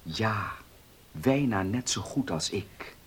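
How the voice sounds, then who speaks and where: An actor playing an earnest, proud suitor in a radio play, grave and restrained. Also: male voice, lowish voice, animated, takes it slowly, fairly bright tone, a little hiss or hum. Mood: restrained, resigned. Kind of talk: Dutch radio play acting.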